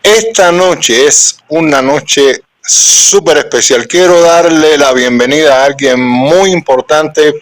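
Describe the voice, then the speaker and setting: A man from Cuba with a Cuban accent, hosting a live-stream interview in an earnest, unhurried way. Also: a little high, dry recording, low-quality recording. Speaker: host.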